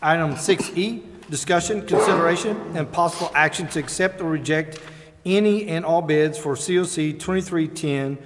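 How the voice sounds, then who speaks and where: A man with a fairly high voice chairs a formal council meeting, reading agenda items in procedural language. His voice rings with long reverb around the chamber. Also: moderate pace, heavy background noise.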